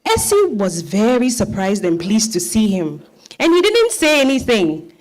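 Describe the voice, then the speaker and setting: A woman's voice: on the high side, wildly swooping pitch, measured pace, poor audio. A woman with a Ghanaian accent reading scripted narration as the narrator of a dramatised stage reading.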